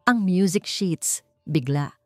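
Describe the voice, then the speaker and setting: A woman reading a scripted romance drama as its narrator, in a measured, dramatic storytelling manner. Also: lowish voice, expressive, faint music behind.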